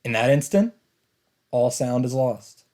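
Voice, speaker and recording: Let's player: male, medium pitch, dramatic deliberate story reading, noisy game ambience behind. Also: expressive, a little mellow. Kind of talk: dramatic read-aloud of visual novel narration. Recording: game sound and noise behind.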